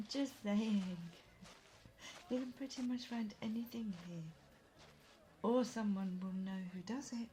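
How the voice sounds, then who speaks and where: A woman playing a cheerful, gentle guide from a fantasy world in an immersive audio roleplay, speaking softly and eagerly to a companion. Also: on the high side, steady tone, slow, pauses often, rough recording. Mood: friendly, helpful.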